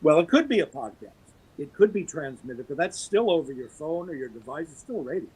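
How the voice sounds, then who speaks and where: A man's voice: fairly high pitch, big pitch swings, fairly quick, a little mellow, husky, poor audio. A man, a guest on a podcast, talking casually and with conviction about producing audio drama, getting onto his soapbox. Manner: opinionated, holding forth on a pet topic.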